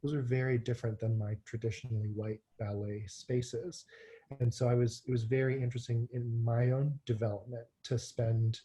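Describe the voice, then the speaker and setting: A man in his thirties, from Hong Kong, talks as a podcast guest. His voice is mellow and dark, and his delivery stays flat and even. He tells his story casually, with ums and restarts. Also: mid-range voice, normal speed, rough recording. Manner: relaxed, frequent fillers and restarts. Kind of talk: conversational storytelling.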